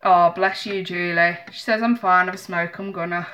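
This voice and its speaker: Woman, 30s, tarot streamer; stuffy nose, bright edge, chatty and apologetic, webcam at a home desk. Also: on the low side, fairly even intonation, normal speed. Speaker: tarot reader streaming live. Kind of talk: casual live-stream chat. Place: small home office in the evening.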